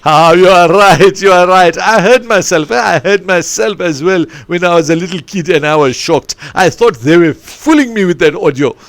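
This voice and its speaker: This is a bossy podcast moderator, as a man puts him on, pitched high and pushed loud. He scolds and teases, capping his remarks with a questioning "eh?". The voice sits close and dry on the mic. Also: moderate pace, few pauses, dry recording. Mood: scolding. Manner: loud and scolding, ends lines with "eh?". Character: stern, teasing male podcast moderator.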